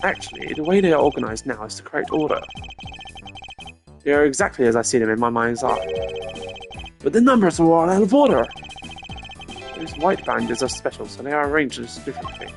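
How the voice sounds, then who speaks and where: A man's voice: on the high side, sing-song, vocal fry, over music. A man playing a cool, condescending prosecutor. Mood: analytical. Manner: condescending, measured, precise. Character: cool, arrogant male prosecutor investigating a murder. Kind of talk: fan voice-over of a video game.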